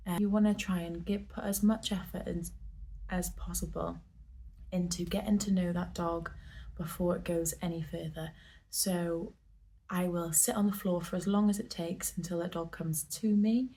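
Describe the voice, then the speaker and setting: A woman in a soft whisper, chatting in a rambling, storytelling way about her work at a dog daycare. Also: fairly low pitch, steady tone, moderate pace. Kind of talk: whispered ASMR talk.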